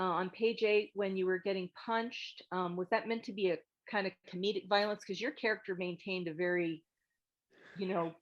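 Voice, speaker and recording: A woman hosting an online group critique, giving frank, thoughtful script feedback in a halting, casual way over a video call. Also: fairly low pitch, highs cut off. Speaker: host of a table read meet-up giving script feedback. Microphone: video call microphone.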